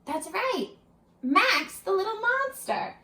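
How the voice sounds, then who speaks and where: A woman reading aloud to children as a teacher, addressing them directly with questions in an animated, instructional way. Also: very high-pitched, slow. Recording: roomy, echoing sound.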